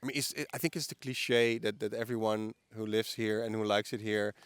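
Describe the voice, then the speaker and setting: A man's voice: fairly low pitch, fairly even intonation, a little fast, clean tone, no echo. A man in his early forties with a Dutch accent, answering casually as a guest in an interview outdoors. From the Netherlands. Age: early 40s. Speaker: podcast guest. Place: outdoors in a park.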